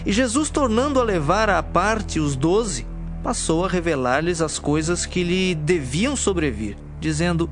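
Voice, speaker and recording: Higher-voiced Brazilian male scripture narrator. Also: lively intonation, unhurried. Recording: clear music bed behind the voice.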